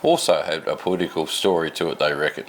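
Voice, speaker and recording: Tired, deep-voiced Australian man vlogging. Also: quick pace, slight background noise. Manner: rambling, laid-back, self-deprecating. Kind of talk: casual unscripted chat to camera. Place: home at night.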